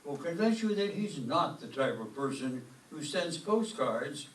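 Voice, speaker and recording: An actor playing a stuffy, incredulous school official with a formal manner, in an old-time radio comedy. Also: male voice, on the high side, big pitch swings, a little slow, distant mic. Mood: courteous, assured. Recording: roomy stage, off-mic, faint noise.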